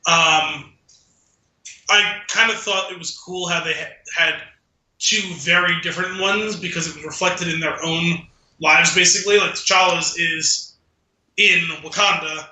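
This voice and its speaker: A man with a very bright, forceful voice pitched a little high talks casually as a podcast co-host. His phrasing rambles and feels its way along. The room around him echoes noticeably. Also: fairly even intonation, a little slow. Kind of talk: casual film discussion chat. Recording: roomy, echoing room sound.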